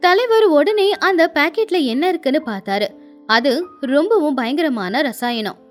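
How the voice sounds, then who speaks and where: A woman's voice: high-pitched, animated, normal speed, soft background music. A woman narrating a scripted moral story as a storyteller.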